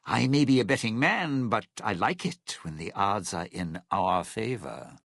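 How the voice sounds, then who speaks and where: An actor voicing a suave, cynical, scheming lounge-singer conman in a video game, with a raspy, gravelly voice and sardonic, old-time showbiz patter. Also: on the low side, a bit bright, no echo, studio quality, heavily acted. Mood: sly, calculating. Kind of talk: video game character voice lines.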